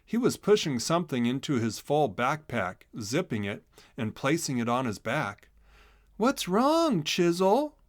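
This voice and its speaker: A man's voice: mid-range voice, animated, moderate pace, no echo, clean studio sound. A man narrating a children's story in a scripted read-along style.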